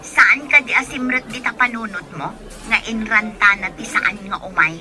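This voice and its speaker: An actress playing an outspoken, exasperated young best friend in a radio drama, with an Ilocano accent. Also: female voice, medium pitch, moderate pace, pushed and bright. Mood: skeptical.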